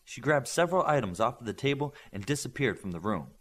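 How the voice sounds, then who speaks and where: A man's voice: medium pitch, sing-song, fast. A man reading a scripted story aloud as an audiobook narrator.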